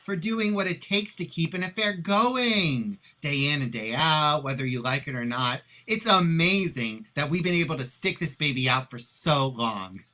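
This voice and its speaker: Guy doing shifty panicky cheating husband in a comedy play, bright tense voice, hedges then gets emphatic. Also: fairly high pitch, expressive, normal speed, hardly any pauses. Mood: persuasive. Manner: hesitant hedging that swells into loud emphatic outbursts. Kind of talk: live play reading, comedic stage acting.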